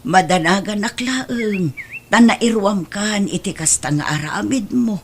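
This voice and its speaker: A Filipino woman playing a meek, long-suffering older mother in a radio drama, speaking plaintively and gently. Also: mid-range voice, measured pace, a little hiss or hum, close to an everyday voice. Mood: worried, pleading.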